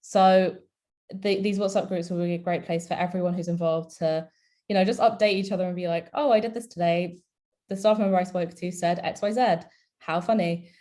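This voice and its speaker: A woman's voice: fairly low pitch, steady tone, brisk, mellow. A woman campaigner with a cold, talking casually on an online rally video call, with many fillers and restarts. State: has a cold.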